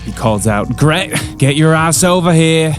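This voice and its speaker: A man's voice: fairly high pitch, lively intonation, unhurried. A man playing a tabletop roleplaying game, describing what his character does. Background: background music.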